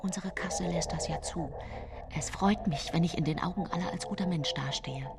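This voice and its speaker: An actress playing a seemingly pious, gentle yet secretly cold 19th-century housewife, confiding her story in a measured radio drama monologue. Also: fairly low pitch, close to an everyday voice. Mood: intimate, confiding. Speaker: actress narrating in a radio play. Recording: music bed behind studio voice.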